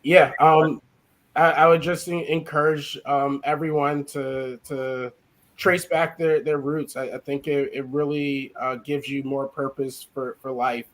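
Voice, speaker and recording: A man's voice: a little high, little pitch movement, unhurried, fairly bright tone. A man from Chicago, a guest on the show, reflecting on a family trip in a hesitant way with many ums and restarts. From Chicago, USA. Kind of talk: casual interview reflection.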